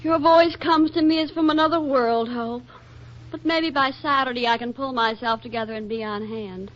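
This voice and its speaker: An American actress playing a harried, sharp-tongued young housewife and mother in a 1940s radio comedy, wry and exasperated. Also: high voice, normal speed, a little hiss or hum, close to an everyday voice. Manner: wry, dramatically exasperated, brittle politeness. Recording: dull, band-limited 1940s radio recording.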